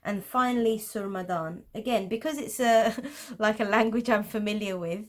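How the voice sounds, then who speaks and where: A woman's voice: mid-range voice, normal speed. A woman with a Pakistani English accent, patiently explaining geometric drawing in a tutorial. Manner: patient, explanatory, with light laughs. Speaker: art teacher.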